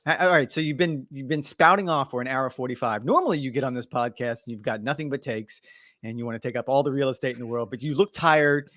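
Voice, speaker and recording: A man co-hosting a golf podcast, teasing his partner and talking through his points with animated banter. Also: mid-range voice, fast talker, no echo, clean studio sound. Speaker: podcast co-host. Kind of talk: casual sports podcast chat.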